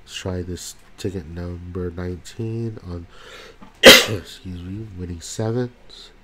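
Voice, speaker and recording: A man's voice: low-pitched, steady tone, pushed and bright, a little hiss or hum. A man with a congested voice from a cold, calling out numbers one by one as he scratches lottery tickets. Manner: slow, calls out numbers one by one. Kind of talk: casual commentary while scratching tickets.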